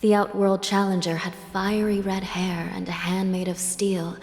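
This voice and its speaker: A woman voicing a soft-spoken, wistful resident of the netherworld in a video game, with a measured, breathy, reflective delivery. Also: mid-range voice, flat delivery, lightly acted. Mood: reminiscing. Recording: reverberant hall, game music behind.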